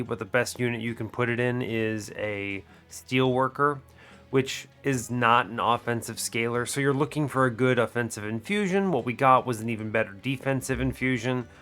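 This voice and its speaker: A man's voice: medium pitch, a bit bright. Sick-sounding man with muted, nasal voice. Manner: rambling, self-correcting. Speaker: gaming channel host. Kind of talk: game commentary. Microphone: desk microphone.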